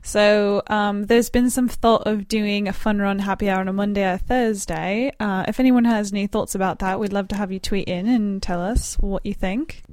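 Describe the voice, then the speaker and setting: A woman's voice: mid-range voice, steady tone, normal speed, hardly any pauses, a little mellow, no room sound, lightly acted. A woman putting on a British accent while co-hosting a podcast and reading listener messages in a composed manner. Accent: put-on British.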